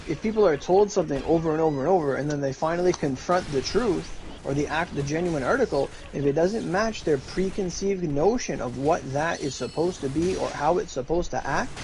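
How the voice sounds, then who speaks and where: A man's voice: on the high side, brisk, few pauses, darkish tone, slight background noise, poor audio. A man streaming, chatting casually in a rambling way full of fillers and restarts.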